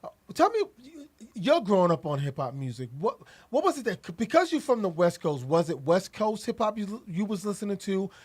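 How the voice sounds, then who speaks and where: A man's voice: high voice, very animated, brisk, husky. A man interviewing an artist, asking long questions with frequent restarts and repeated words.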